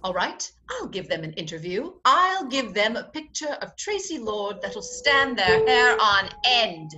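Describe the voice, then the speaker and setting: Woman playing a high-voiced, haughty society bride. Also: big pitch swings, measured pace, sharp tone, a little hiss or hum, heavily acted. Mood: shocked, bitter. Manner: imperious, cutting, theatrical. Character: haughty, sharp-tongued society bride, indignant and proud. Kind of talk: 1940s radio comedy acting.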